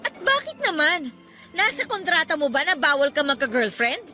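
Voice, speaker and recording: An affectionate, trusting young girlfriend in a radio drama. Her voice is clearly high and conversational, easily tipping into questions, over soft background music. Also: very animated, moderate pace, heavily acted. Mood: hurt, questioning. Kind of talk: Tagalog radio drama acting.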